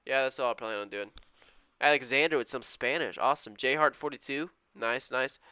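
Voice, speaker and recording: A man's voice: medium pitch, brisk, sharp tone, clear, resonant tone, dry recording. A man in his twenties chatting casually on a live trading stream, reading out viewer comments and thanking people in an upbeat, slangy way. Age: 20s. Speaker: live-stream day trader.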